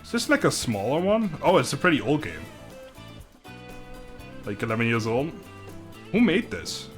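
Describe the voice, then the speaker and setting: A man's voice: mid-range voice, big pitch swings, moderate pace, faint music behind. A young man from the Netherlands with a Dutch accent, chatting casually on a live stream, laughing often and stumbling over his words.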